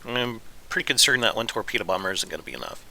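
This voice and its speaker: A man talking through a strategy game in a loose, muttering way, his voice thick and phlegmy from congestion. The tone is gravelly and very bright, and a faint hiss of noise sits behind him. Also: fairly low pitch, animated, low-quality recording. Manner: muttering to himself while playing, loose and unhurried. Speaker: gaming YouTuber. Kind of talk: casual gameplay commentary.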